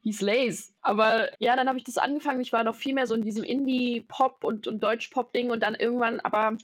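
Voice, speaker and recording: A woman's voice: on the high side, fairly even intonation, a little fast, no room sound. A young woman, a musician, chatting casually and openly in an interview, mixing youthful slang with English words. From Germany. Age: young adult. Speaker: musician, rapper and singer.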